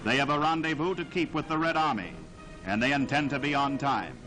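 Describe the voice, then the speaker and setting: A man narrating a 1940s newsreel in a dramatic, declamatory style with emphatic, measured phrasing, on a vintage soundtrack. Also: mid-range voice, over music.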